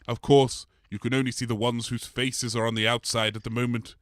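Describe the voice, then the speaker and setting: A man's voice: mid-range voice, flat delivery, a little fast, a bit bright, dry recording, clean studio sound, natural voice. A man playing a polite, bumbling blob creature in a comedy audio drama, hesitant, rambling and apologetic. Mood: genial, hesitant.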